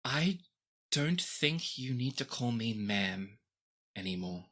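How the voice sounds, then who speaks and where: A woman voicing a weary, gentle older female ship's captain in a tabletop game, speaking in a measured, hesitant way. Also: a bass voice, expressive, husky, full character voice. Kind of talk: tabletop game role-play.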